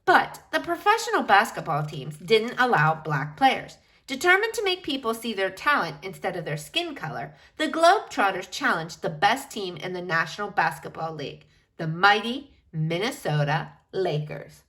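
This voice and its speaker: Bright, animated woman reading a storybook aloud. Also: mid-range voice. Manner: emphatic, dramatic phrasing with drawn-out key words. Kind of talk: expressive children's picture book read-aloud.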